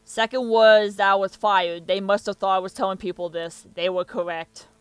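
A man's voice: high-pitched, fairly even intonation, moderate pace, clean tone, dry recording. Young man reacting, dry close sound. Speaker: reaction video host. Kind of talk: casual reaction commentary, reading on-screen captions aloud.

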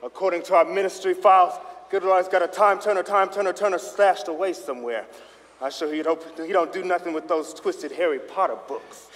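Man playing a high-voiced, commanding wizard police chief. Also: fairly even intonation, brisk, fairly bright tone. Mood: brisk concern. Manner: authoritative, declamatory. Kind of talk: comedic stage acting at a staged reading. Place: live staged reading on a stage. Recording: reverberant hall.

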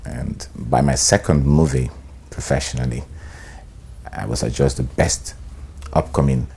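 Very deep Nigerian man, gravelly. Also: unhurried. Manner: relaxed, hesitant with many ums and you knows. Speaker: actor. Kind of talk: sit-down interview monologue. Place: bright studio room, indoors. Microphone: lapel.

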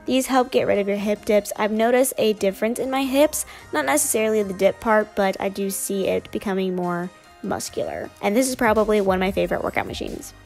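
A woman's voice: medium pitch, expressive, a little fast, vocal fry, a little mellow, soft background music. A young woman narrating her gym workout in a casual, upbeat voice-over. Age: young adult. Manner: casual, chatty, upbeat explaining. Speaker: fitness vlogger.

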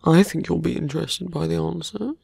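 A man voicing a suave, condescending fantasy villain and thief in a breathy, gravelly half-whisper for an ASMR roleplay. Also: fairly high pitch, darkish tone, dry recording, exaggerated voice. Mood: quiet, measured. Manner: slow, deliberate, teasing. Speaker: voice actor in roleplay audio. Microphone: close, dry microphone.

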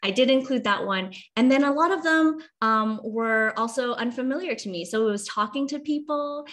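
A woman's voice: fairly high pitch, normal speed, a bit bright. A woman in her thirties from Hong Kong, explaining conversationally as a guest author on a video call. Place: video call from a room at home.

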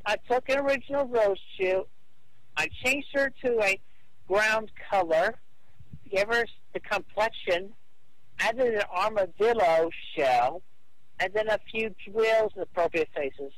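A man on a phone call arguing his case in a halting, repetitive, insistent way. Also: sits high, a bit bright, no echo. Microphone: telephone call.

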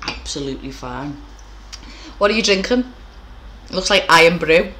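A woman's voice: fairly low pitch, lively intonation, moderate pace, pushed and bright. A woman, hosting a casual video-call chat from home on a headset, chatty and swearing freely. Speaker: podcast host. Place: small room at home, indoors. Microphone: over-ear headset on a video call.

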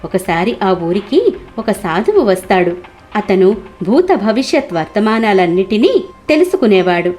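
A woman's voice: mid-range voice, big pitch swings, unhurried, a little mellow, faint music behind. A woman storyteller reading scripted narration for a cartoon moral tale.

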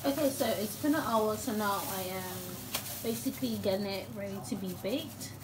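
A woman's voice: medium pitch, normal speed, heavy background noise. Hesitant woman narrating her cooking. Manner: hesitant, lots of ums and restarts. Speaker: cooking vlogger. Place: home kitchen, indoors.

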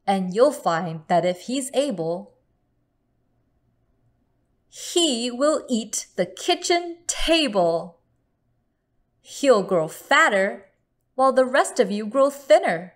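Slow, higher-pitched woman reading a children's story. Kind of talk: read-aloud picture book narration for children.

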